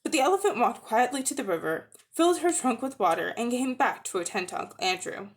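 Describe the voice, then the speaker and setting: A woman narrating a children's story as a dramatic reading, expressive and deliberate. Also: fairly high pitch. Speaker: audiobook narrator.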